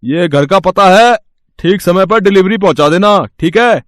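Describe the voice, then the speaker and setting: A man acts out a brisk bakery boss from a moral story. His voice sits fairly high and is recorded very dry. He talks freely, checking with little tag questions as he gives instructions. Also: fairly bright tone, no echo. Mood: businesslike. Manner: talkative, adds tag questions. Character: brisk, chatty bakery owner, male boss. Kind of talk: dramatised Hindi moral story acting.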